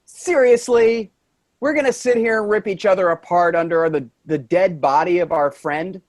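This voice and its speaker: A man's voice: sits high, moderate pace, lightly acted. A man in a staged play reading, playing an earnest, appalled office worker who pleads with those around him.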